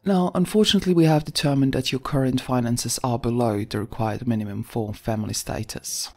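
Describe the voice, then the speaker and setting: A bureaucratic consul speaks in an even, polite monotone of official calm, voiced by a woman reading a story. The voice is husky and sits a little back from the microphone. Faint music plays beneath the speech. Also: rumbling low, brisk. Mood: detached. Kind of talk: audio story acting.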